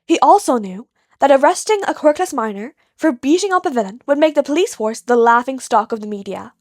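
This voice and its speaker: Female fiction narrator, higher-pitched, scripted storytelling, dry recording with some music. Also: animated, fairly quick, darkish tone, dry recording. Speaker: podfic narrator.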